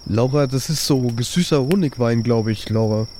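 Male role-play podcaster, mid-pitched mellow voice, slangy, defensive, conversational. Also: normal speed, a little hiss or hum. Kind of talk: casual improvised in-character dialogue.

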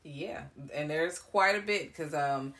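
A woman's voice: low voice, slow pace. A woman co-hosting a podcast in casual chat, talking animatedly and laughing often. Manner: animated, laughing, excited questions. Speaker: podcast co-host.

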